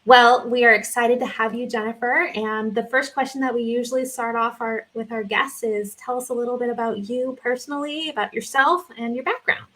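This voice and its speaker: A woman's voice: on the high side, fairly even intonation, fairly quick, few pauses, fairly bright tone, rough recording. A woman hosting a podcast, introducing her guest in an upbeat way and reading out the bio. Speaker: podcast host.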